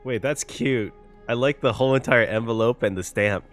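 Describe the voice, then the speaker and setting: A man's voice: mid-range voice, moderate pace, a bit bright, faint music behind. A man giving casual, chatty reaction commentary on a game, thinking aloud as he goes. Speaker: streamer reacting to a game story.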